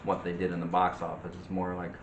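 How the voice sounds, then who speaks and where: A soft-spoken man co-hosting a casual movie chat, hesitant and searching for words. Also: low-pitched, completely flat delivery, fast talker. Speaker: co-host of a movie review show. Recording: distant, noisy, echoey room.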